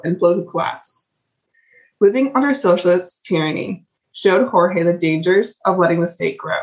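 Young woman host on dull lo-fi audio. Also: on the low side. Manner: casual, hedging with fillers. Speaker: podcast host. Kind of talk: podcast interview.

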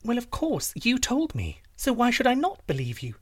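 A sweet-talking fairy-tale princess, put on by a male narrator, pitched high and airy, with a lively rise and fall. She is bold and cunning, coaxing as she persuades. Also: moderate pace, no echo, clean studio sound, full character voice. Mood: coaxing, flattering.

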